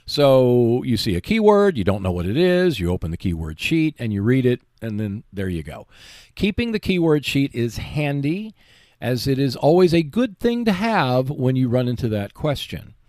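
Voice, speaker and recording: Man, mid-pitched, very animated intonation, instructional gaming host, emphatic, reassuring, dry recording. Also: normal speed, no room sound. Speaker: host of a tabletop gaming show. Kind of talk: instructional tips talk on board wargaming.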